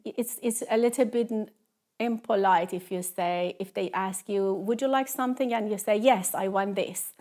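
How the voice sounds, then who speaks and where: Iranian-accented woman speaking halting English. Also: mid-range voice, darkish tone. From Tehran, Iran. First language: Persian. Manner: halting non-native English. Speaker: interview guest, cultural trainer. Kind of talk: conversational interview answers.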